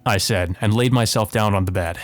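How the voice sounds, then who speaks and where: A man's voice: lowish voice, brisk. A man hosting a let's play, reading visual novel narration aloud and adding casual commentary. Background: music behind the voice.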